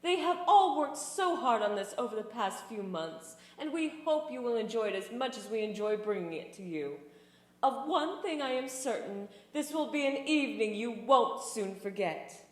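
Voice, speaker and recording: A woman's voice: on the high side, lively intonation, moderate pace, reverberant, lightly acted. A woman voicing a proud schoolteacher pony, formally proclaiming to a theater audience in a story reading. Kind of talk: theatrical stage announcement.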